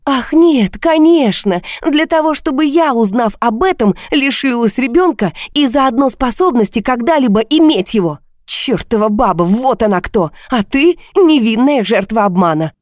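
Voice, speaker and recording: A woman's voice: on the low side, normal speed, warm tone, dry recording, studio quality, close to an everyday voice. A woman voicing a bitter, mocking wife in a failing marriage, with a cutting, sarcastic manner. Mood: bitter sarcasm.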